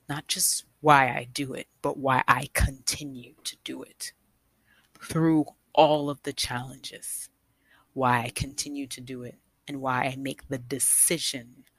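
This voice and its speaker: Slow-talking woman with a low, husky voice. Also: a bit bright, no echo. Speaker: guest storyteller, nonprofit founder. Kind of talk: personal storytelling to an audience.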